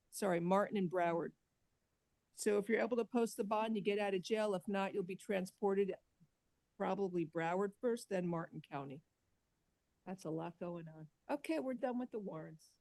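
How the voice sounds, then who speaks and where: A woman judge presiding over a bond hearing from a courtroom microphone, speaking in a brisk, procedural way. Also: mid-range voice, darkish tone, no room sound.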